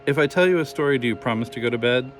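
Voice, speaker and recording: A man voicing a patient father ghost in a storybook, firm and measured, warning with mock solemnity. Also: mid-range voice, soft background music, close to an everyday voice.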